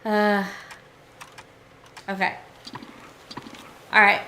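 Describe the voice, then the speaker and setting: Chatty woman streamer, a bit hoarse. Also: mid-range voice, monotone, very slow, bright, forceful tone, a little hiss or hum. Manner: chatty, thinking aloud, talks to chat. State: hoarse, losing her voice. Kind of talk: casual gameplay commentary.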